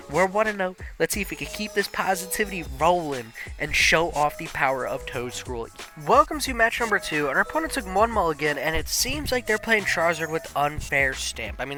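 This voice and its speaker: A young man with a rather high, bright and forceful voice talks his way through an online card game, slightly sniffly from allergies. He thinks out loud in loose slang and breaks into quick excited laughs. Soft music plays faintly underneath. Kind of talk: live commentary while playing an online card game.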